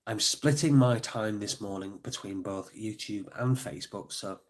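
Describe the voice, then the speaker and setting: A man's voice: fairly low pitch, moderate pace. A middle-aged man hosting a casual live stream, a bit bunged up and sniffly, thanking viewers by name with plenty of ums. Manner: chatty, thanks viewers by name, many fillers. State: congested, sniffly.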